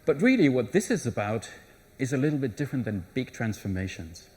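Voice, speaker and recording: A man's voice: mid-range voice, sing-song, a little fast, darkish tone. A middle-aged man giving a live conference talk, conversational, with frequent restarts and fillers. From Germany. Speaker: conference presenter. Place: conference session room.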